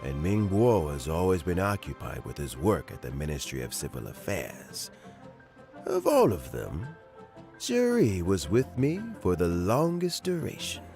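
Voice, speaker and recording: An actor playing a kindly older man close to retirement, gentle and reminiscing, chuckling often. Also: deep voice, huge pitch swings, measured pace, creaky, faint music behind, full character voice. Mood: warm. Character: kindly old man near retirement, fond of fishing. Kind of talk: game voice acting.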